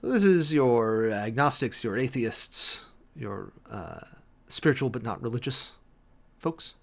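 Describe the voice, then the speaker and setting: Male livestream host, medium pitch, highly animated. Theatrical reader and analyst, draws words out for emphasis, dryly sarcastic. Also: creaky. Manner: theatrical, emphatic, with drawn-out words and sudden swells. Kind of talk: dramatic reading with close analytical commentary to a live chat.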